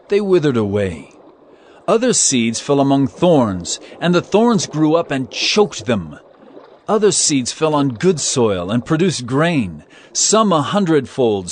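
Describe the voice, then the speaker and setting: A solemn bible teacher telling parables, measured and emphatic, highish voice, lots of melody in it. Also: male voice, a little hiss or hum. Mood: earnest. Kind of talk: dramatized scripture reading. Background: background music.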